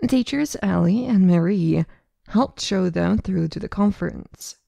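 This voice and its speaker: A woman's voice: medium pitch, measured pace, creaky, mellow, no echo. A woman narrating a scripted story for an amateur audio drama.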